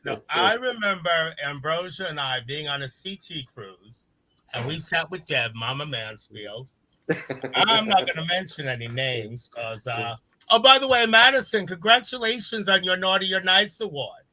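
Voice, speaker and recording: A man's voice: a little high, animated, moderate pace, sharp tone, poor audio. A man hosting a drag podcast, brash and campy, gossiping and swearing freely. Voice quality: says voice has less gravel than before. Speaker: drag queen podcast host.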